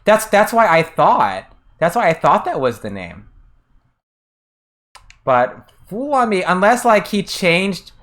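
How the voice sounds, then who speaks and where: Chatty American streamer, halting and casual. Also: medium pitch, very animated, a bit bright. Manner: chatty and hesitant, trails off and restarts often; answers chat messages between gameplay. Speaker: game streamer and translator. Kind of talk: casual live-stream commentary while playing.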